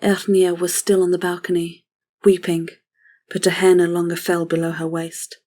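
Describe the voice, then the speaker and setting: A woman reading scripted fantasy narration for an audio drama in a measured, solemn way. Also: lowish voice, flat delivery, dark tone.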